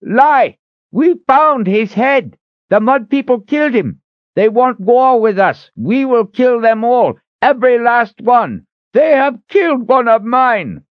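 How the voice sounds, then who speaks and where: Furious old male elder, high strained pitch, sputtering threats, fantasy audiobook, slightly dull recording. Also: animated, no room sound, full character voice. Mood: indignant rage. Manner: indignant, shouting, drawn-out threats. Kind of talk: dramatic audiobook character acting.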